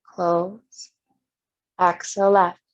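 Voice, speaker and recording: A woman yoga instructor leading a guided breathing meditation in short, gentle step-by-step cues. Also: fairly low pitch, flat delivery, very slow, highs cut off.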